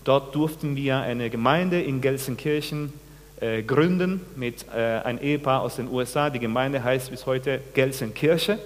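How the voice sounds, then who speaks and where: Man from Peru, 40s, pastor, sermon, halting with restarts, addresses congregation, average pitch, long church reverb. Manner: halting, many restarts, asks the congregation questions. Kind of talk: sermon with personal testimony.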